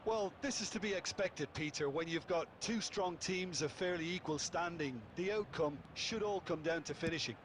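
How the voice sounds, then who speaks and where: A man giving calm, explanatory co-commentary analysis on a football match. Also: a little high, normal speed, few pauses, background noise.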